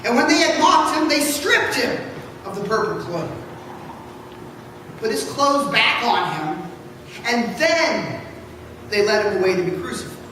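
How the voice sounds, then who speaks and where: Distant and ringing in a big, noisy church, a man reads scripture aloud as a pastor. He projects in a high voice, theatrical and deliberate. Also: very animated, pushed and bright. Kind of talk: dramatic scripture reading. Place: large church interior. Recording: echoey church hall from far off, noisy.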